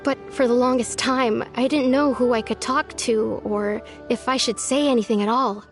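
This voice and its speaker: Gentle, lonely young villager, voiced by a woman: soft, earnest and wistful, with a fairly high voice. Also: fairly even intonation, normal speed, lightly acted. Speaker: game villager character. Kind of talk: video game voice acting. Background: faint game music.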